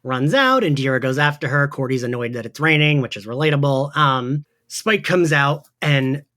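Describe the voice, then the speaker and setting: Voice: medium pitch, lively intonation, normal speed, fairly bright tone. A podcast host in casual chat, recapping a story in a chatty way and peppering sentences with "like" and "right". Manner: chatty, heavy use of "like" and "right".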